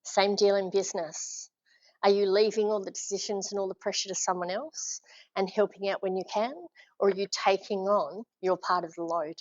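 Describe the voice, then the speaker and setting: A woman's voice: mid-range voice, fairly even intonation, moderate pace, no room sound. An Australian woman gives a live leadership talk with energy. She draws the room in with questions and encouragement. The sound is dull and dry. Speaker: leadership speaker. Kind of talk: live presentation to a small audience. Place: function room with an audience.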